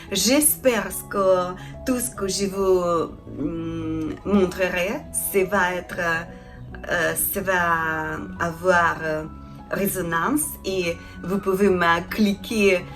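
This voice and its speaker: A woman from Ukraine with a Ukrainian accent, a non-native speaker introducing her craft channel in a halting way, often searching for words. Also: mid-range voice. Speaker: craft channel host, felting artisan. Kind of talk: casual introduction to camera.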